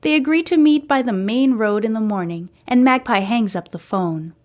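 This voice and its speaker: A woman's voice: on the high side, normal speed, darkish tone. A woman hosting a story podcast, reading scripted fiction narration.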